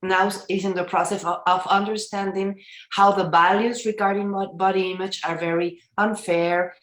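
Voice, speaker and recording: A woman's voice: mid-range voice, steady tone, moderate pace, reverberant. A woman therapist, asking a question over a video call and searching for her words.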